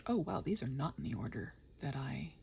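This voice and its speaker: Woman, playful and scattered ASMR creator, mellow with plenty of vocal fry, rambling casually and muttering while she works. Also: rumbling low, very animated, brisk, a little hiss or hum. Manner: playful, chatty, talks to her computer. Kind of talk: casual unscripted body-doubling ramble.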